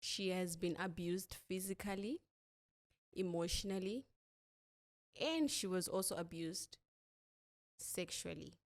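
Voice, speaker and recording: A woman hosting an interview podcast, speaking deliberately in short phrases. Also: fairly low pitch. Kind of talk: interview with a scripted-sounding introduction.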